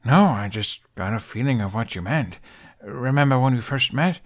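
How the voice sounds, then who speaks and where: An actor playing an awkward young man, stammering and excitable, with a wry, sarcastic streak. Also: mid-range voice, normal speed, close to an everyday voice. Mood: animated, wry.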